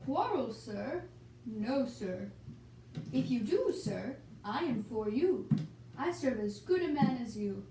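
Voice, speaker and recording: A woman's voice: on the high side, very animated, dark tone. A young woman giving an amateur, theatrical reading of Shakespearean dialogue for a puppet show. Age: young adult. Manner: theatrical, reciting archaic dialogue slowly. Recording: echoey room, distant mic, hissy noise.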